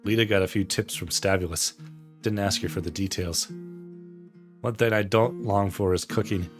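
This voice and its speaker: Man as philosophical mother, gentle, resigned, low-pitched, reflective, visual novel reading, faint music. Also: steady tone, normal speed, lightly acted. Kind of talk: visual novel character read aloud.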